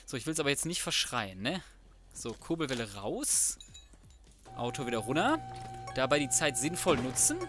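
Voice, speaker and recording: A man's voice: medium pitch, big pitch swings, moderate pace, long pauses, over music. A man giving casual, colloquial let's play commentary, muttering to himself as he goes. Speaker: gaming video host.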